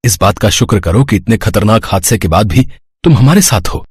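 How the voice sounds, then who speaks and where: A man playing a humble, pious village father, tender and earnest, in an animated moral story. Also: fairly low pitch, brisk, slightly rough, no room sound, studio quality. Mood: consoling.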